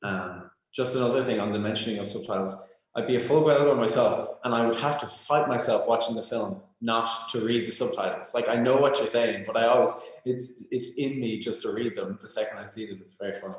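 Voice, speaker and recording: A man's voice: mid-range voice, a little fast. A man moderating a festival Q&A, earnest and reflective, sometimes searching for words. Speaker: interviewer. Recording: very echoey room.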